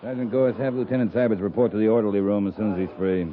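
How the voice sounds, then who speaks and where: A man's voice: lowish voice, steady tone, quick pace, slight background noise, natural voice. An American man playing a stern US cavalry captain in a 1950s radio drama, speaking with clipped authority. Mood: stern, impatient. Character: stern, commanding US cavalry captain in frontier West. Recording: muffled 1950s radio recording.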